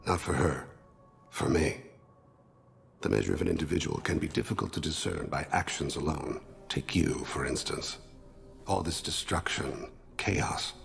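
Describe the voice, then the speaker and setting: Dying alien assassin, video game cutscene, rock-bottom pitch, thick rasping fry, courteous, philosophical, measured. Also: male voice, faint music behind, full character voice. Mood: solemn, measured. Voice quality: gravelly. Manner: formal, measured, solemn.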